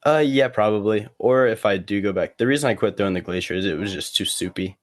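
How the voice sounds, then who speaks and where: A man chatting casually as a podcast guest, rambling and halting, with plenty of slang. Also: fairly low pitch, dry recording. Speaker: guest, competitive cornhole player.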